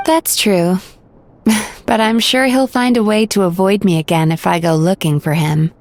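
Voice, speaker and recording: A woman voicing a composed, reserved dream-spirit therapist in a video game, measured and politely formal. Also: mid-range voice, steady tone, slight background noise, close to an everyday voice. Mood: wry, resigned.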